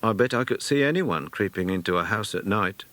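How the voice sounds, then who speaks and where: A man's voice: fairly low pitch, expressive, brisk, a bit bright. A man voicing a bold, curious, plain-spoken stable boy in a children's audiobook. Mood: eager.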